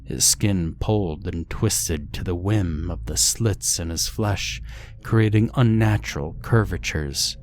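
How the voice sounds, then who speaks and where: A man's voice: low voice, takes it slowly, darkish tone, a little hiss or hum. A man reading a scripted first-person horror story as its narrator.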